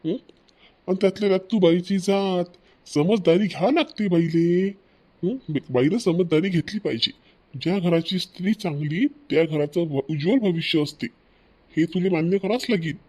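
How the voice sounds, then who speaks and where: A man's voice: high voice, normal speed, darkish tone. A man voicing a stern elderly father-in-law in a cartoon family drama, preachy, with a Vidarbha Marathi accent. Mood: admonishing. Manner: preachy, repeats questioning tags.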